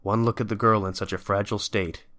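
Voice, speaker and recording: A man's voice: on the low side, flat delivery, fast talker, fairly bright tone, dry recording. A man narrating a scripted dramatic story as the narrator of an audio drama.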